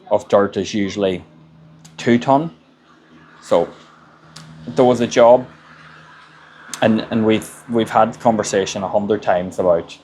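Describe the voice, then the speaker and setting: A middle-aged Irish man with a low voice talks through hard-won business lessons in a plain, casual way. He repeats words as he works out what to say next. Faint ambient noise sits under the recording. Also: a little mellow. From Ireland. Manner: plain-spoken, repeats words while gathering thoughts. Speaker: construction business owner. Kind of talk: casual advice monologue.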